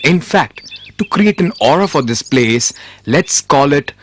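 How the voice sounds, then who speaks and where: A man acting a wise, steadfast royal minister in a respectful, earnest manner for an animated moral tale. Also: on the high side, a little slow, husky. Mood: brisk, instructing.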